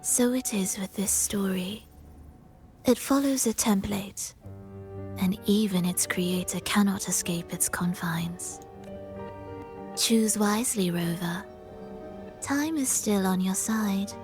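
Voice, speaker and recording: Woman acting a slow, cryptic otherworldly lady. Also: medium pitch, lightly acted. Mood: softly ominous. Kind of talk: video game voice acting. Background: background music.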